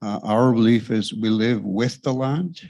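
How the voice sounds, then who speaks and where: An older man, a native Cree speaker, speaking a measured opening prayer and reflection at a podium on a hall stage. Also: on the low side, a little mellow. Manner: slow, measured, pausing often. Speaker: elder giving an opening prayer. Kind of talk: slow spoken prayer and reflection. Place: large lecture hall stage. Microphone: podium microphone.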